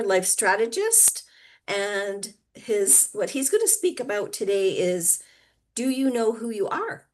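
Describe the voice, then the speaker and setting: A woman hosting an online wellness event, introducing speakers in a loose, hesitant way with many fillers. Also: on the low side. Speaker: host and founder of an online wellness platform.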